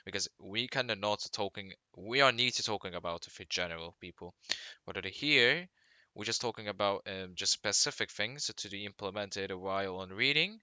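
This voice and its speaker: A young man whose first language is Turkish, speaking English with a Turkish accent as a vlogger giving reading tips, halting and restarting often as he searches for words. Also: fairly low pitch, bright, forceful tone, clear, resonant tone, no echo. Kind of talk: casual talk to camera.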